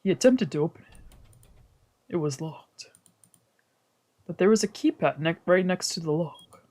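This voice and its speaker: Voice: medium pitch, lively intonation, normal speed, a little mellow. A streamer reading a visual novel's narration aloud in first person, translating the lines, with whispered asides.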